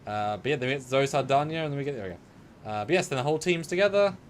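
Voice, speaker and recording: A man's voice: mid-range voice, lively intonation, quick pace, slight background noise. A man co-hosting a casual live discussion over a video call, chatty and joking. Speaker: co-host. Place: home room with shelves.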